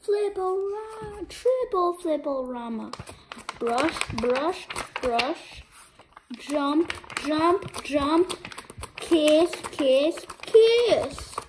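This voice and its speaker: High-voiced woman reading aloud, very animated. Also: very slow, a little hiss or hum, low-quality recording. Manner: expressive, voices sound effects. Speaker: children's book reader. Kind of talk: animated picture-book read-aloud.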